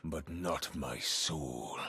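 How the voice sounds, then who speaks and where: An actor voicing a vengeful, bloodthirsty pirate captain in a video game, very raspy and gravelly, with grim pronouncements and dark humour. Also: male voice, a bass voice, drawn-out words, exaggerated voice. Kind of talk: video game character voice lines.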